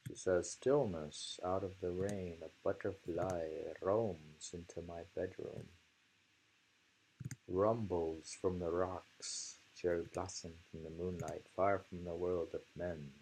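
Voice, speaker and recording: A man hosting a casual haiku discussion and reading poems aloud, in a halting, musing way that often trails off. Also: sits low, steady tone, a little mellow, husky, low-quality recording.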